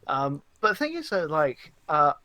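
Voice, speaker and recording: A man co-hosting a podcast, chatting casually about films and games with halting, hesitant phrasing. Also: a little high, sing-song, pushed and bright, no echo, rough recording. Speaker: podcast co-host. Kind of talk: casual film and game chat.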